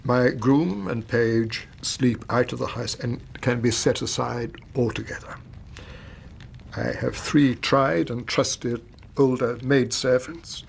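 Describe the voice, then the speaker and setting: An actor playing an anxious, grieving middle-aged English widower and banker in a radio drama, telling his story in a formal, halting, agitated way. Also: mid-range voice, creaky, slight background noise. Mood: hesitant, formal.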